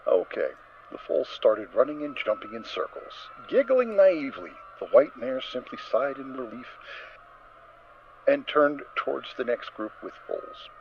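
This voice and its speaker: A male narrator gives a dramatic story reading with theatrical emphasis. His pitch is mid-range and swings freely with the telling, on a slightly muffled recording with faint noise. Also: big pitch swings, measured pace, vocal fry.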